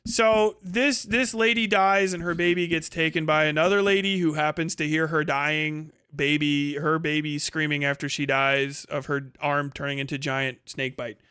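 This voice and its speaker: A man's voice: fairly high pitch, flat delivery, moderate pace, few pauses, sharp tone, clean tone, dry recording, studio quality. A man giving a casual, ranting review monologue as a livestream host, blunt, profane and exasperated, repeating points for emphasis.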